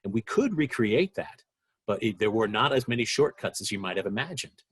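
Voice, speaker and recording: A man talks reflectively in a documentary interview. His voice sits fairly low and has a husky grain. He is earnest and deliberate, often repeating a phrase for emphasis. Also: lively intonation.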